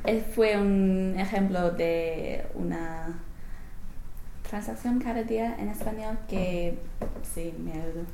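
Slow, hesitant young woman in an echoey room. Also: mid-range voice, dark tone. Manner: hesitant, many fillers and restarts, laughs often. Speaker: language study participant. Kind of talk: research interview answers. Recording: roomy echo, faint background noise.

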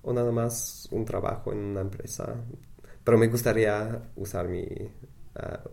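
Low gravelly young man, hesitant. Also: fairly even intonation, moderate pace, mellow. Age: young adult. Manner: hesitant, many filler sounds and restarts. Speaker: student interviewee. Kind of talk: interview answers in learner Spanish.

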